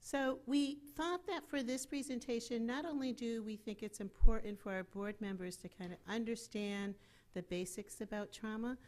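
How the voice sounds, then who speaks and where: A woman's voice: on the high side, moderate pace, darkish tone. A woman from the program staff giving plain, informal introductory remarks to a meeting room.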